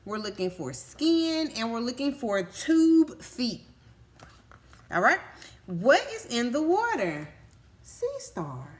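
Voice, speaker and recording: A woman's voice: fairly high pitch, big pitch swings, slow. A woman reading a picture book aloud to young children as a teacher, explaining simply and often stopping to ask check-in questions. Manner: instructive, simple child-directed phrasing with frequent check-in questions.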